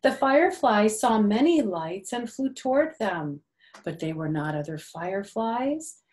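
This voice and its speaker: A woman's voice: mid-range voice, animated, measured pace, a little mellow. An older woman reading a picture book aloud to young children, with simple phrasing and little questions and asides to the listeners.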